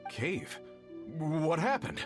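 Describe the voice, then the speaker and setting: A man's voice: a little high, sing-song, extremely slow, full character voice. A man voicing an earnest, polite mining foreman in a video game, speaking with hesitant concern. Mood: relieved, puzzled. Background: music behind the voice.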